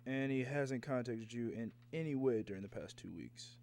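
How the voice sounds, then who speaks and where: An actor playing a businesslike government agent, questioning formally in a 1950s radio drama style. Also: male voice, medium pitch, normal speed, gravelly, a little mellow, close to an everyday voice. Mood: formal, reserved.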